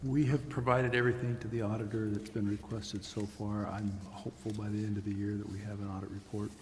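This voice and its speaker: Gravelly, flat-toned American man reporting. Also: fairly low pitch, quick pace. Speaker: city official reporting. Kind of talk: plain status update. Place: council chamber. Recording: echoey hall, faint noise.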